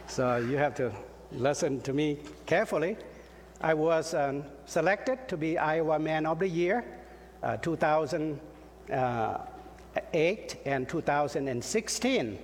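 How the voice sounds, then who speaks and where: A middle-aged pastor delivers his sermon very slowly, in a fairly high voice. He stops often to search for words and jokes about himself. His voice rings out through a reverberant church hall over a faint room hum. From Laos. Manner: halting, with frequent fillers and light self-deprecating jokes. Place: church sanctuary.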